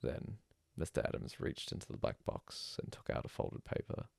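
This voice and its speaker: A man's voice: moderate pace, a little mellow, dry recording, clean studio sound. A man reading a scripted bedtime story aloud as a narrator.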